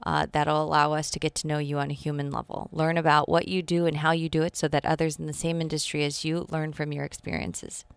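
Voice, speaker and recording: Low-pitched woman hosting a bubbly podcast. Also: little pitch movement, creaky, clear, resonant tone, no room sound. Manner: bubbly, laughs often, quick questions. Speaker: podcast host. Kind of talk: casual interview chat.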